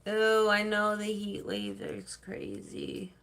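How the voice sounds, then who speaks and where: A woman's voice: mid-range voice, monotone, slow pace, a bit bright. A woman hosting a casual livestream, chatting with viewers and reading their comments, rambling and laughing easily. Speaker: livestream host.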